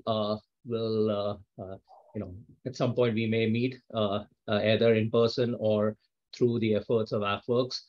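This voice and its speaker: Guy with a lowish flat voice, lots of uhs, kinda muffled. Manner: halting, many fillers and restarts. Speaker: learning facilitator. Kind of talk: self-introduction.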